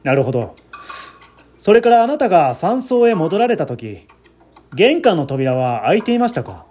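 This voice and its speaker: Guy doing a calm shrewd police inspector, measured lowered voice, questioning someone in an audio mystery, noisy background. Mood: probing. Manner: measured, probing, composed.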